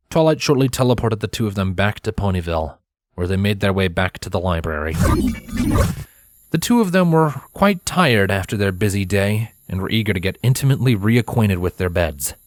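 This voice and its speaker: A man's voice: low voice, no room sound. A man reading a scripted story aloud as its narrator. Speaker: narrator, fan fiction reader.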